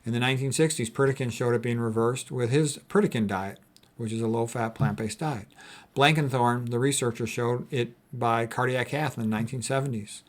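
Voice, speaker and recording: A man's voice: mid-range voice, a little fast. A man reads quotes aloud and comments on them informally as a health educator, in a folksy, emphatic way that repeats key statements.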